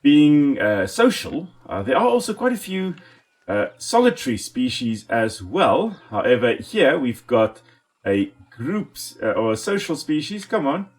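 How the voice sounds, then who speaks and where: South African man, Afrikaans first language, animated mid-pitched voice, chatty enthusiastic gameplay host. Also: a little slow. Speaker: let's play host and ecologist. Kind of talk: casual gameplay commentary.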